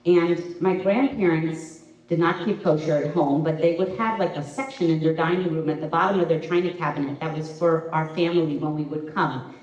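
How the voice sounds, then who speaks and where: A middle-aged woman from the Boston area talks through her memories slowly, pausing often with "um." Her voice sits low. She was recorded from a distance in an echoey event room, and the sound is dull. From Boston area, USA. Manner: warm storytelling, many um pauses. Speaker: interview guest, preschool director. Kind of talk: casual conversational interview. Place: community center event room.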